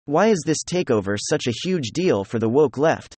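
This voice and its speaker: A man's voice: a little high, big pitch swings, normal speed, a little mellow, no echo. A man delivering opinionated news commentary in a sarcastic, mocking manner. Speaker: political commentator.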